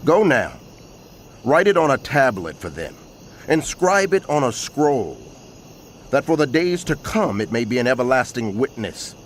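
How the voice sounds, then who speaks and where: An actor playing a prophet who narrates dramatized scripture in a measured, earnest, proclaiming way. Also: male voice, medium pitch, a little hiss or hum. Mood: grave. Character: prophet narrating, earnest male herald of God.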